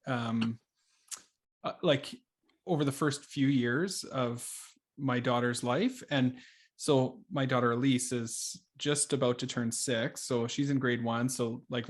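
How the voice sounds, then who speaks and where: A man, hosting a casual podcast conversation in halting, searching phrases. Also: mid-range voice. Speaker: podcast host.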